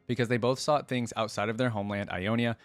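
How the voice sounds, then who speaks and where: A man's voice: fairly low pitch, steady tone, fast, smooth, soft background music. A man hosting a reaction video, reading written lore aloud and breaking off for casual commentary. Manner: casual asides while reading.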